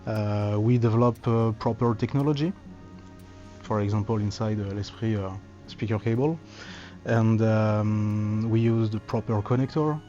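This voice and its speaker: Halting man searching for words. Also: lowish voice, steady tone, mellow, dull audio, faint music behind. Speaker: audio cable company representative. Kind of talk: trade show interview.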